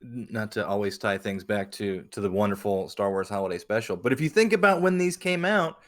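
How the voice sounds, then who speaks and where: Man, lowish pitch, podcast co-host, casual, halting delivery with repeated words. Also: expressive, a bit bright.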